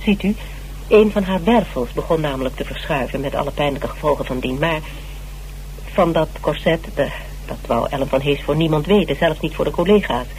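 A woman's voice: low voice, fairly quick, a little mellow, close to an everyday voice. A woman in a Dutch radio drama playing a shrewd, composed investigator with a probing, matter-of-fact manner. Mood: probing, polite. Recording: noisy, humming old radio recording.

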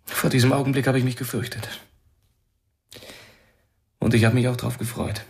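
An actor playing a fearful, fragile young musician in hiding, halting and introspective, in a radio drama. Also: male voice, medium pitch, level tone, vocal fry, lightly acted. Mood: anxious. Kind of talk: German radio drama acting, inner monologue.

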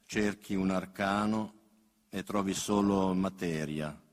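Deep, slow man reciting poetry. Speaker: poet reading own work. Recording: long hall reverb.

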